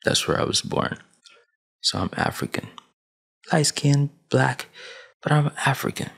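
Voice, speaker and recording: A man's voice: a little high, unhurried, gravelly, a bit bright, breathy edge. A man in his twenties from Sudan, raised in Saudi Arabia, giving a preachy big-brother rant to camera on a headset mic in a small dim room. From Sudan, grew up in Saudi Arabia. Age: 20s. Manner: preachy big-brother tone, emphatic, with hand gestures. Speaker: vlogger. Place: small dim room at home, gaming chair behind. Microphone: headset with boom mic.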